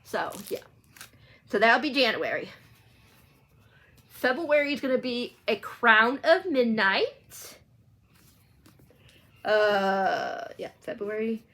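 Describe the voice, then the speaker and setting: A woman's voice: on the high side, very animated, slow pace, long pauses, pushed and bright. A woman talking casually to viewers in a rambling, informal book vlog, often getting sidetracked. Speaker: book channel vlogger.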